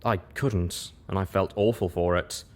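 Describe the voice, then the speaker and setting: Male audiobook-style narrator, low pitch, scripted story reading. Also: steady tone, unhurried, a little hiss or hum. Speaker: reader, narrator.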